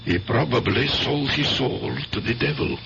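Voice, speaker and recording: An actor playing a flustered, baffled art professor in a 1970s radio drama, speaking emphatically and theatrically. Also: male voice, mid-range voice, moderate pace, creaky, a bit bright. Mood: baffled, emphatic. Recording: muffled, noisy 1970s radio broadcast with hum.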